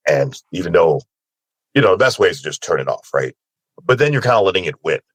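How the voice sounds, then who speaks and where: A man's voice: low voice, very animated, fast talker, breathy edge, dry recording. A man talking casually and candidly about himself, in an informal way, often checking in with the listener. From Sri Lanka, moved to America as a child. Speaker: panelist, voice actor. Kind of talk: casual, candid personal conversation.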